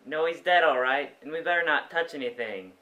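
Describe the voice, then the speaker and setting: A man's voice: on the high side, a little fast, bright, forceful tone, lo-fi sound. A man acting in a stage play as a blunt, disbelieving young farmhand. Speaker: stage actor. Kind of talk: amateur stage play dialogue.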